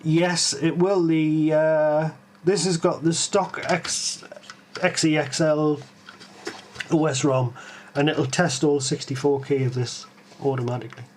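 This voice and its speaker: A man's voice: fairly high pitch, fairly even intonation, unhurried, darkish tone, a little hiss or hum. A man with a Geordie accent hosting a live tech stream, musing aloud with lots of ums and restarts as he answers viewers. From Tyneside, England.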